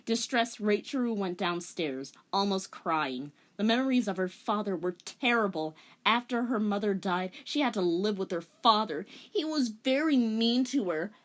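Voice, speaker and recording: A woman's voice: medium pitch, lively intonation, moderate pace, hardly any pauses. A woman giving a comedic dramatic reading with casual commentary, in a sardonic, giggly manner. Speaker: commentator and reader.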